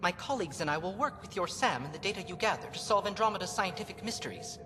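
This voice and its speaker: Casting: a self-assured game scientist, formal, rapid talker, heard in a reverberant hall. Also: male voice, high-pitched, animated. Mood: eager, assured. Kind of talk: video game cutscene acting. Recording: echoey hall with music behind.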